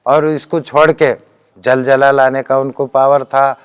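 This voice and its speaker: A man's voice: mid-range voice, flat delivery, a little slow, clear, resonant tone, dry recording. A man with a Deccan Hindi accent giving a spiritual discourse as a teacher, telling accounts earnestly and quoting speech as he goes.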